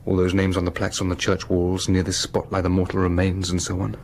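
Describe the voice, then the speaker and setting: A man's voice: low voice, completely flat delivery, fast, slight background noise. Earnest, troubled English clergyman. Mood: grave. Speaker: actor in a radio play. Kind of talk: radio drama acting.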